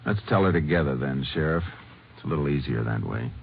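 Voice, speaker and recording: An actor playing a resolute, considerate Texas Ranger in an old radio crime drama, with an American accent and a terse, measured, polite delivery. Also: male voice, a bass voice, animated, creaky, a little hiss or hum, exaggerated voice. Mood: businesslike. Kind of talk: 1950s radio crime drama acting and narration. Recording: tinny old radio recording with music.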